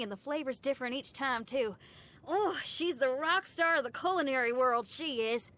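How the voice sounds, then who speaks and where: A woman's voice: high voice, fairly bright tone. A woman playing a brash, upbeat, free-spirited young female rock musician in a video game, with a folksy, slangy and enthusiastic way of talking. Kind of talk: video game character voice lines.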